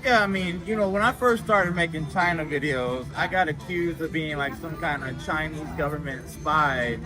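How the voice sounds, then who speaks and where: A man's voice: fairly high pitch, moderate pace, music in the background. A man from the United States, a guest and video creator, talking in a casual interview and addressing viewers directly. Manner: assertive, lectures the audience directly.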